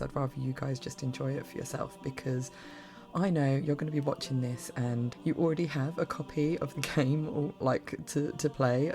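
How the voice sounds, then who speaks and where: A woman with a bad cough and a croaky, hoarse voice, giving a casual, rambling spoken review that often restarts mid-sentence. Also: a bass voice, warm tone. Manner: rambling, gushing, many restarts. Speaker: game reviewer.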